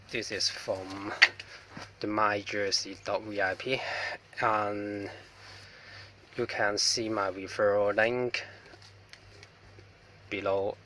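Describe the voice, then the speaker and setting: Hong kong accent guy unboxing stuff, slow and unsure, bright voice, phone mic a bit far off with faint hiss. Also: low voice. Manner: hesitant, apologetic, many ums. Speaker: vlogger. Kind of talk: casual product unboxing. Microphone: mobile phone.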